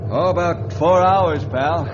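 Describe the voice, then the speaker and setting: An American actor playing a calm, confident adventure leader in a 1950s radio serial, folksy, brisk and reassuring. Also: male voice, fairly high pitch. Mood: determined. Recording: muffled old radio recording, noisy background.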